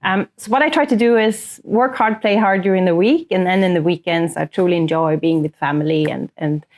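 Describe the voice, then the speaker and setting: A woman's voice: lowish voice, fairly quick, darkish tone. A woman in her thirties or early forties from northern Sweden, a native Swedish speaker, explaining things earnestly as an executive in a conversational interview. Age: 30s to early 40s. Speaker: corporate sustainability executive, interview guest.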